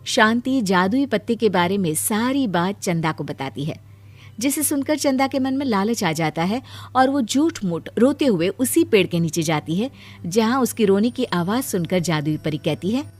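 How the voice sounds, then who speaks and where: A female narrator tells a children's moral story from a script. Her voice sits at a medium pitch, and faint music plays underneath. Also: animated, normal speed.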